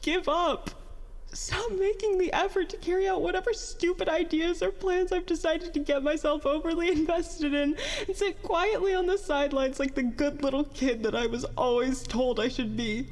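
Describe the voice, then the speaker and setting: A woman playing a bitter, worn-out young inventor in a confessional audio drama monologue, halting, self-deprecating and emotional. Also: extremely high pitch. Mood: tearful, anguished outburst. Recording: long hall-like reverb on voice.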